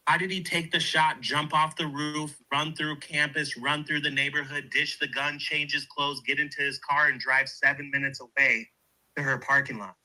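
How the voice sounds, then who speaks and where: A man talking casually and excitedly, explaining things insistently and repeating himself to get his point across. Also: a little high, moderate pace, rough recording.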